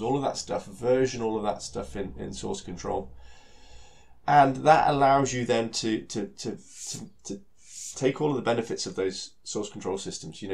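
A British man with a heavy cold talks through a technical presentation, his voice nasal and stuffy. His voice sits on the lower side, and he speaks in a relaxed way, leaning on fillers and repeating words as he goes. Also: creaky. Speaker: solution architect giving a technical talk.

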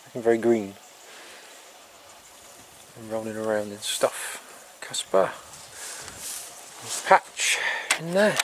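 A middle-aged man in a casual, rambling vlog, talking haltingly outdoors on a farm into a handheld camera. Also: fairly low pitch, lively intonation, background noise. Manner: halting, breaking off to talk to animals. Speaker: farm vlogger. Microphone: handheld camera at arm's length.

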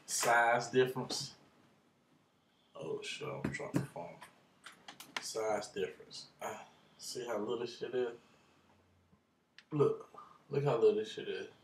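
A young man talking into his webcam in a dark bedroom, bragging in slang, half-singing some lines. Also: medium pitch, lively intonation, slow pace, vocal fry, pauses often, echoey room, background noise. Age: young adult. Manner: boastful slangy banter, drifts into half-sung lines and laughs. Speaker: vlogger. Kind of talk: casual rambling vlog. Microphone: computer webcam up close.

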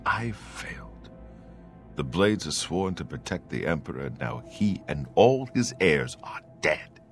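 An actor playing a dutiful imperial bodyguard knight in a fantasy game, grave, earnest and soldierly. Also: male voice, low-pitched, sing-song, a little slow, soft background music. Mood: grieving, distressed. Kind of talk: fantasy video game acting.

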